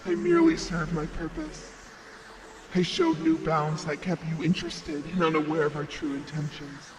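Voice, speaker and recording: A man playing a composed, clever fighter in an anime fan drama, with a measured, smug delivery. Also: a little high, expressive, slight background noise, close to an everyday voice, poor audio. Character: composed, clever male fighter springing a trap.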